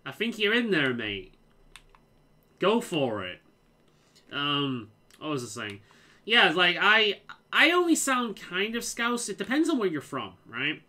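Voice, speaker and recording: A man with a light Liverpool accent chats casually as he plays, his voice bright and fairly high. His pitch swings freely from phrase to phrase. He keeps repeating words and restarting his sentences. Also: very animated, measured pace, long pauses. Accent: mild Liverpool. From England. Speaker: game streamer. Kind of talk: casual chat while playing.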